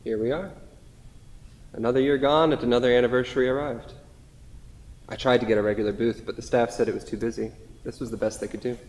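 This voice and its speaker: Male reader as devoted, self-deprecating husband, wistful and conversational, mid-pitched, stage reading in a reverberant hall. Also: fairly even intonation, fast, long pauses, a little mellow, lightly acted. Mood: resigned, wistful. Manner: reminiscing, conversational.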